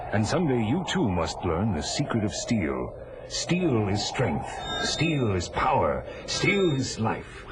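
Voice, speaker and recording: A man playing a stern, proud barbarian father and swordsmith, speaking gravely in a declamatory 1980s audio drama style. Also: lowish voice, very noisy.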